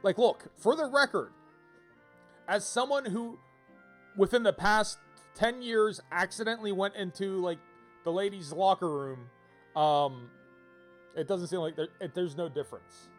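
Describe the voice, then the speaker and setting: A man giving casual, rambling game-stream commentary in an animated, digressive way, repeating himself for emphasis. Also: high voice, measured pace, long pauses. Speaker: livestreamer playing a visual novel. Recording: game music behind the voice. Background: video game music.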